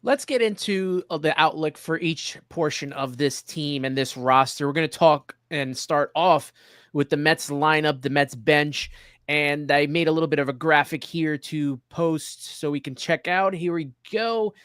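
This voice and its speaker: Higher-voiced male sports host, dry close sound. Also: a little slow, fairly bright tone, clear, resonant tone, dry recording. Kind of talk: casual presenting, reading through a list.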